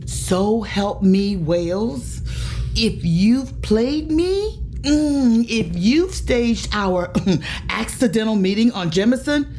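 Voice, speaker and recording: A woman playing a guarded, intense chief mate who speaks slowly and pointedly. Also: a little high, expressive, dark tone, slight background noise. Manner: slow, pointed, suspicious. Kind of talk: audio drama acting.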